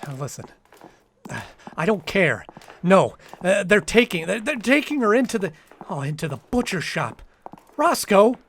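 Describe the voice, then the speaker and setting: A man's voice: sits high, very animated, moderate pace, a bit bright, breathy edge, exaggerated voice. A man playing an anxious, sensitive young man in a radio drama, speaking in an earnest, faltering, dramatic way. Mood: panicked. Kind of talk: old-time radio drama acting with diary narration.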